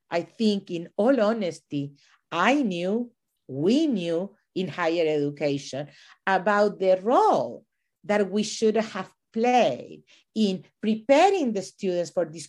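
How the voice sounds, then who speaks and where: A woman's voice: fairly low pitch, darkish tone. A middle-aged woman from Chile, raised in Venezuela, talking as a university administrator and professor in an interview, thoughtful and discursive with many fillers and restarts. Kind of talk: reflective interview conversation.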